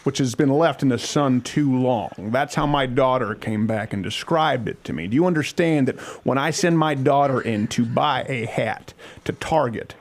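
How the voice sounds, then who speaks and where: A man's voice: mid-range voice, moderate pace, lightly acted. A man playing a pompous, indignant complaining father. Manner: pompous, wordy, mock-formal, sarcastic. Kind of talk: prank phone call complaint.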